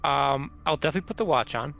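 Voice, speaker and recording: A man's voice: a little high, fast, fairly bright tone, soft background music. A man playing a tabletop roleplaying game, speaking casually. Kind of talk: casual chat. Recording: slightly dull, lo-fi mic.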